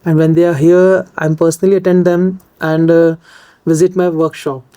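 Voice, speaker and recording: A woman's voice: lowish voice, level tone, normal speed, dark tone, no room sound. A woman who runs a shop and workshop, answering interview questions in plain conversational speech.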